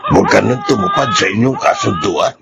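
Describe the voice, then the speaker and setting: A man's voice: fairly low pitch, a little fast, vocal fry. An actor playing a worried, blunt elderly grandfather in a Filipino radio drama. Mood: worried, scolding. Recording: very noisy radio drama track.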